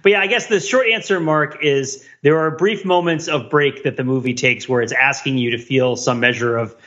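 A man's voice: mid-range voice, normal speed, a bit bright, dull audio. A man co-hosting a podcast in casual discussion, analytical and wordy with a joking streak. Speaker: podcast co-host.

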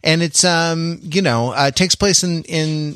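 A man's voice: a little high, a little slow, a bit bright, no echo. A middle-aged man co-hosting a podcast, chatting casually in a hesitant way and often repeating words. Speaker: podcast co-host. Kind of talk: casual podcast introduction and chat.

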